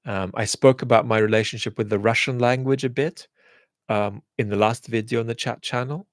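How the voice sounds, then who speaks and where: A man answering viewer questions in a casual chat, speaking in a thoughtful, measured way with frequent restarts. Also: medium pitch, steady tone, no echo, studio quality. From England.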